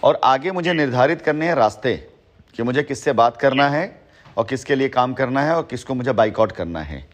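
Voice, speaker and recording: A man talks on a recorded phone call. His voice sits at a middle pitch. He is assertive and long-winded, often repeating himself to press his point. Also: animated, fairly quick. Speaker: company head on a phone call with a former associate. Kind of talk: phone conversation in Hindi mixed with English words.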